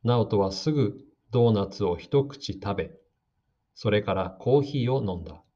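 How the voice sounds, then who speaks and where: A man giving slow, calm, scripted narration for a radio drama meant for learners. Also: on the low side. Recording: dull lo-fi audio.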